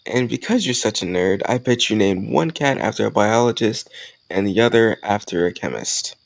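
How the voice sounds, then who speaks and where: Man acting as an easygoing college guy, low gravelly voice. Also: lively intonation, normal speed, dry recording. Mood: friendly, teasing. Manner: casual, conversational, gently teasing. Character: easygoing, teasing male college student warming to a crush. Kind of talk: intimate romantic audio roleplay, one-sided conversation.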